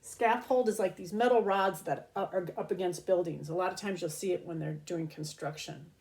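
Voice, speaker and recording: A woman teacher reading a children's picture book aloud in an expressive, child-directed manner, pausing to explain things. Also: lowish voice, gravelly.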